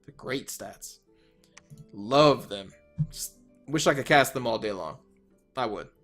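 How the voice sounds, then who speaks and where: Man, mid-pitched, a bit hoarse; casual game commentary, thinking out loud, faint music behind. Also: expressive, takes it slowly. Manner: thinking aloud, trailing off, self-correcting. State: slightly hoarse, losing his voice. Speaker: let's player.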